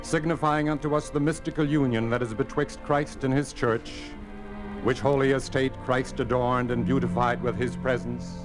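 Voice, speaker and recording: An actor playing a calm, devout parson who speaks in a solemn, measured, ceremonial way in a 1950s radio drama. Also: male voice, medium pitch, natural voice, low-quality recording. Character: calm devout male parson marrying a couple in secret. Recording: old radio recording with music.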